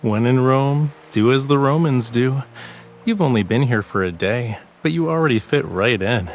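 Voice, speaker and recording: An actor playing a playful young male artist in a romance game, speaking in a soft, languid, teasing way. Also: medium pitch, lively intonation, normal speed, natural voice. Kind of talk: romance game character dialogue. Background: background music with guitar.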